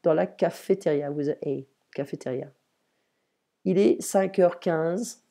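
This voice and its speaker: Female language tutor on a video call, low and mellow voice, unhurried bilingual explanations. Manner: switches between French and English, slow and explanatory. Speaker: French teacher. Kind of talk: online language lesson.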